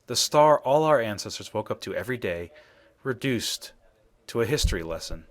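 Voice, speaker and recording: A man's voice: on the low side, lively intonation, normal speed, close to an everyday voice. An actor playing a serious man in a space adventure video game, delivering scripted dialogue. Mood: wistful.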